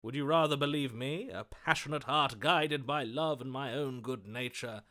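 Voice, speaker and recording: A man's voice: medium pitch, normal speed, bright, forceful tone, natural voice. A man voicing a lovestruck, melodramatic romantic hero in a video game, speaking in a theatrical, florid, overwrought manner. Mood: ardent, persuasive. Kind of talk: video game character voice acting.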